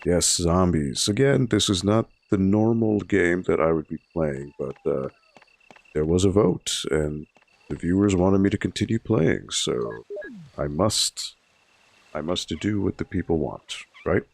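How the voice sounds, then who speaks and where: Library stream host, man in his thirties, hoarse and raspy, low gravelly voice, relaxed and chatty while he plays. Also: unhurried. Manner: relaxed and chatty, explains each step while playing. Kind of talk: casual gameplay commentary to a live chat.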